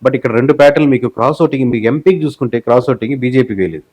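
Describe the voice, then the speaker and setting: Male Telugu interviewee, analyst type. Rapid-fire, explanatory delivery, medium pitch, English phrases mixed into his answers. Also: a little mellow. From Telugu-speaking southern India. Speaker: guest, election survey company head.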